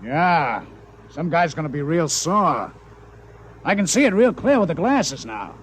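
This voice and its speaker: A man's voice: on the high side, very animated, a little slow, slight background noise. An actor playing a brash, shady gambling-house boss with blunt, slangy tough-guy talk in a radio comedy. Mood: excited, uneasy. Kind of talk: mid-century radio comedy acting.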